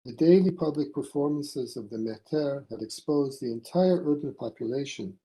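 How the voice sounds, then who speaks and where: A man presents a scholarly paper in a formal, read-aloud style. His voice sits at a middle pitch with a very dark, soft-edged tone. He phrases deliberately, setting out each segment in a measured way. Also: expressive, poor audio. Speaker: academic presenting a conference paper. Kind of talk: formal scholarly lecture read from a prepared text.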